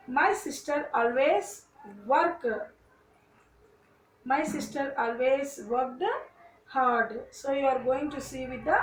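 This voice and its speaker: Woman teacher, high voice, slow deliberate phrasing, faint background noise. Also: distant mic. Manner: slow, repeats each sentence phrase by phrase. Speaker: English teacher. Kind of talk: grammar lesson, reading example sentences aloud. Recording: echoey room, voice a bit off-mic, faint noise.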